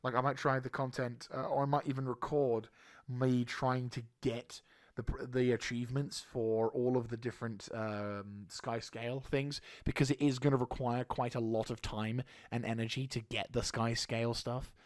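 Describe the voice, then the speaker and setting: A man with a slightly hoarse throat giving a casual channel update as a gaming YouTuber, rambling and halting with frequent restarts. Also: medium pitch, little pitch movement, no echo, clean studio sound.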